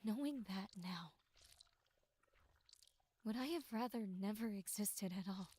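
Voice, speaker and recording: A woman voicing a tormented, despairing victim in a horror game monologue, with breathy delivery that slips into whispers. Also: mid-range voice, expressive, a little slow, warm tone. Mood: bitter despair. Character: tormented, despairing young victim musing bleakly on existence. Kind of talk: dramatic first-person horror game monologue.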